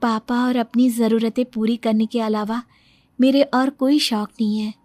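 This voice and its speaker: A woman voicing a dutiful, soft-hearted young daughter and bride in a moral story. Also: on the high side, little pitch movement, measured pace, dry recording, lightly acted. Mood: meek. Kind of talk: moral story character dialogue. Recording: music behind the voice.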